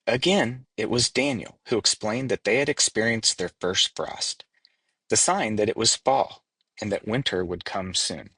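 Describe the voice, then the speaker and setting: A man's voice: fairly low pitch, a little slow, sharp tone, slightly rough, no room sound. A man narrating a storybook as a scripted read-aloud. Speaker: storybook reader.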